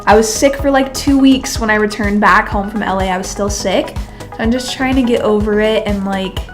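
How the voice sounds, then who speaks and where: A woman's voice: mid-range voice, moderate pace, soft background music. A young woman in her twenties with a congested, nasal voice from a cold, chatting casually through a makeup tutorial. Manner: chatty, filler-heavy, addresses viewers as beauties. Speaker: beauty vlogger.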